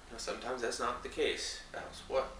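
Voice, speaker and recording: Young man, slightly raspy cold voice, bright edge, rambling filler-heavy vlog talk, faint electrical buzz. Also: mid-range voice, moderate pace. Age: young adult. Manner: rambling, many fillers and restarts. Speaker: vlogger. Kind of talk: casual talk to camera. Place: small home room at night. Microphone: camera a few feet away. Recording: roomy, distant mic, faint buzzing hum.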